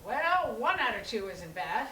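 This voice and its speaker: A woman acting a frazzled, sarcastic grown daughter, sardonic and animated, in a staged play reading. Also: on the high side, measured pace. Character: frazzled, sarcastic adult daughter fed up with her brother. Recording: echoey room, clear background noise.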